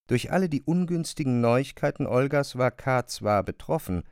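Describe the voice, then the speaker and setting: An actor playing the narrator of a literary radio play, telling the story in a composed, observant manner. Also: male voice, medium pitch, animated, moderate pace, darkish tone, clean tone, no room sound, clean studio sound, lightly acted. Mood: composed, reflective.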